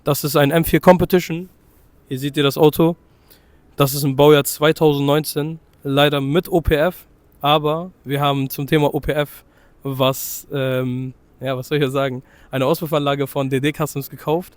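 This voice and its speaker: A young man with a slightly hoarse voice from a cold, chatting loosely through a walkaround of his car and correcting himself as he goes. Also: fairly high pitch, little pitch movement, normal speed, dry recording. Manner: loose, chatty, full of fillers and self-corrections. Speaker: car vlogger showing his own car. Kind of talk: casual car walkaround vlog.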